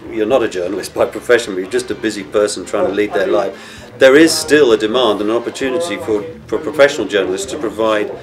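Middle-aged male journalist, lowish pitch, thoughtful interview delivery, music underneath. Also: fast talker, hardly any pauses. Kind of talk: conversational interview talk.

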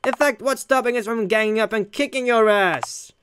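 Man acting a loud, hot-headed young guy. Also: high voice, normal speed, background noise, close to an everyday voice. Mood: angry, defiant. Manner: indignant, brash, swearing.

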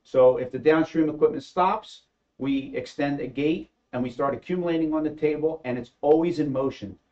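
Middle-aged man, casual engineer explaining his invention, halting with fillers, slightly muffled studio sound. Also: a little high, a little mellow. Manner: hesitant, many fillers. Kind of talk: casual panel discussion. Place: studio set with backdrop.

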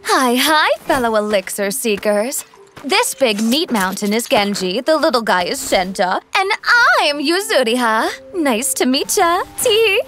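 A woman's voice: sits high, lively intonation, unhurried, a bit bright, soft background music. A woman voicing a coy, scheming young ninja in a cutesy anime parody style. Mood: bubbly. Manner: cutesy, coquettish.

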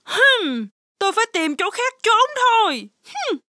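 Timid horned dinosaur, very high, lively pitch, fretful, cartoon. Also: female voice, a little slow, no room sound, studio quality, full character voice. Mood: scared. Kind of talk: children's cartoon dubbing.

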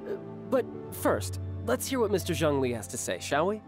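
A man's voice: a little high, huge pitch swings, moderate pace. A man playing a cocky young schemer in a fantasy video game, breezy and teasing with a playful menace. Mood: smooth, casual. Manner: breezy, smooth-talking, teasing. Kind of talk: video game character dialogue. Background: faint music.

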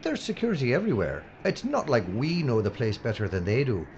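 A man's voice: medium pitch, huge pitch swings, a little fast, a little mellow. An actor playing an anxious, whiny, bumbling film crew man in an audio drama. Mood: exasperated. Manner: flustered, whiny, babbling. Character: anxious bumbling male film crew member, easily flustered. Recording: dull, noisy audio drama recording.

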